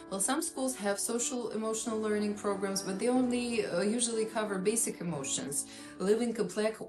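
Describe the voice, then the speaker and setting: Russian-accented woman therapist explaining. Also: medium pitch. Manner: earnest, explanatory, with fillers. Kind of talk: educational talk to camera. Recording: echoey room with faint music.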